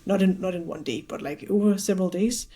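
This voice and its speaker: A woman with a Danish accent, a podcast host chatting casually, talkative with quick asides. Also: on the low side, dark tone. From Denmark.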